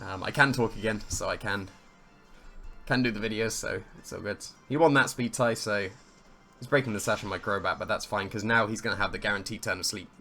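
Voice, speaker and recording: Slightly croaky man thinking aloud. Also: fairly low pitch, brisk, bright, forceful tone, faint music behind. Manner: thinking aloud, weighing options, amused at his own plays. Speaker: competitive player commentating his own match. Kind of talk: casual play-by-play commentary.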